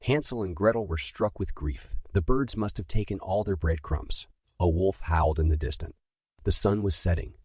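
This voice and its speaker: A low-voiced man reads a scripted bedtime story for children. His voice has a husky grain, and he tells the tale deliberately and with drama. The sound is close and dry. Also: dry recording.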